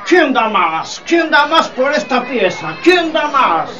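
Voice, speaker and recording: Brash auctioneer, super high voice, hawking over a crowd, dull far-off sound, radio play. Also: male voice, very animated, takes it slowly, heavily acted. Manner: loud, calling out repeatedly. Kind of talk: community radio drama acting. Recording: distant, dull recording, loud crowd noise.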